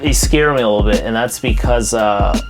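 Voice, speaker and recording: A man's voice: medium pitch, fairly quick, music in the background. A man from Los Angeles, talking casually in an interview as a collector. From Los Angeles, California. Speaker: music collector and shop owner being interviewed.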